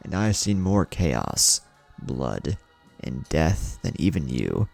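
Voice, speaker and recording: A man plays a proud, very old ninja leader in an anime-style audiobook. The voice is low and gravelly, with blunt, weighty phrasing. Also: huge pitch swings, takes it slowly, a little mellow, soft background music, full character voice. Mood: weary, conciliatory. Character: gruff, proud, very old male shinobi leader.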